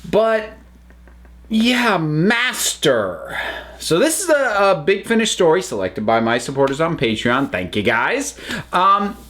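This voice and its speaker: Slow, rambling male reviewer. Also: fairly high pitch, big pitch swings, a bit bright. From United States. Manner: slow, rambling, many fillers and restarts. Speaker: host reviewing audio dramas. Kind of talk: casual spoken review.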